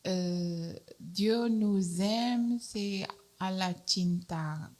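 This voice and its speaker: Very slow, hesitant woman. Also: mid-range voice, darkish tone.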